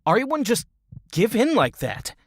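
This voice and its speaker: A woman's voice: a little high, wildly swooping pitch, normal speed, slightly rough, no echo, studio quality, exaggerated voice. A woman playing a blunt, skeptical adventurer in a fantasy audio drama, with a wry way of speaking. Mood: worried, disbelieving.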